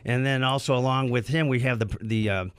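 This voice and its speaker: A man hosting a live talk radio show, getting over a head cold and a little raspy, talking with plenty of fillers and restarts. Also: medium pitch, fairly even intonation, no echo. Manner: halting, many fillers and restarts. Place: radio studio. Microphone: studio microphone.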